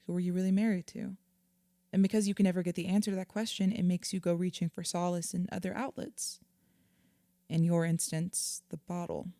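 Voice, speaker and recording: A woman acting a patient, earnest therapist in an audio drama, measured and reassuring as she explains. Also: fairly low pitch, flat delivery, dark tone, dry recording, lightly acted. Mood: empathetic, explanatory. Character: patient, earnest female therapist counselling a client.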